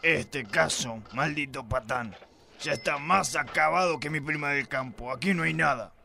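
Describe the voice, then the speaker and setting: A man acting a crude, bigoted, corrupt male police commissioner in an amateur radio play, barking insults in a gravelly voice. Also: a little high, moderate pace, sharp tone, a little hiss or hum, full character voice. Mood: gruff rant. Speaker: radio drama actor.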